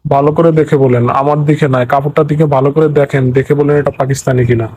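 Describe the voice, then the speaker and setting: A man's voice: mid-range voice, steady tone, very fast, darkish tone, slightly rough, no room sound, natural voice. A man playing a stern, formal consumer rights officer in a comic cartoon, speaking firmly and officially.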